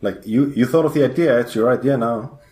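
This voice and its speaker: A man's voice: mid-range voice, brisk, a little mellow, echoey room. A man from Iceland, a guest programmer chatting in a podcast interview, rambling with lots of fillers. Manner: rambling, hesitant with many fillers.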